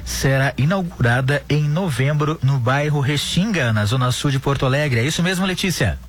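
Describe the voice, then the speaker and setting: A man hosting a live radio show in a friendly, chatty, animated way. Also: medium pitch, moderate pace. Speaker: radio host. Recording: faint music behind.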